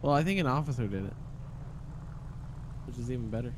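A man's voice: mid-range voice, expressive, brisk, background noise. A man chatting casually and jokingly as a fellow player in an online police roleplay game. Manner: informal, joking. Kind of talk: casual gaming chat.

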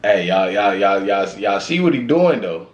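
A man's voice: on the low side, very animated, normal speed, background noise. An American man in his thirties giving casual, slangy reaction commentary, often repeating his phrases. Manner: slangy, repetitive, conversational. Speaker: reaction video host. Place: small indoor room with records on the wall. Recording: roomy echo. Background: faint music.

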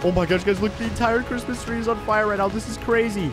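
A man voicing an excitable, frightened little boy, exclaiming breathlessly in improvised gaming commentary. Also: high-pitched, quick pace, over music, natural voice. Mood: excited alarm.

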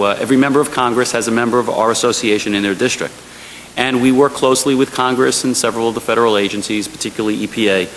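A man's voice: medium pitch, a bit bright. Conversational man addressing a panel audience. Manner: conversational, engages audience, frequent ums. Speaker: trade association advocate. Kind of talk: policy panel presentation. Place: large hearing room. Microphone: table microphone. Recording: long hall reverb, faint hiss.

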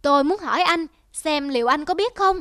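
A woman's voice: high-pitched, animated, a little fast, pushed and bright, dry recording, studio quality. A woman voicing a sly, polite parrot in a children's cartoon, speaking in a coaxing way. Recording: music behind the voice.

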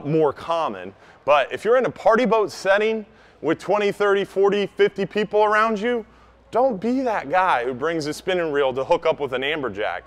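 Emphatic man with a higher-pitched voice, teaching. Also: a bit bright. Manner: emphatic, practical, speaking up to a group. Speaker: charter fishing captain giving a seminar. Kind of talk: informal instructional talk to a live audience. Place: inside a large outdoor goods store. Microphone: camera a few meters away.

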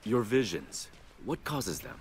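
An actor playing an earnest, honorable young warrior monk in a video game, calm and resolute. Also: male voice, on the low side, takes it slowly, a little hiss or hum. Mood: questioning. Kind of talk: video game cutscene acting.

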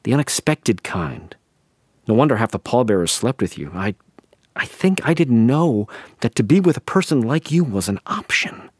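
An actor playing a wistful middle-aged husband, talking softly and haltingly at a funeral in a radio play. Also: male voice, medium pitch, big pitch swings, slightly rough, no room sound, studio quality. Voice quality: soft, halting, musing. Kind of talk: radio drama monologue.

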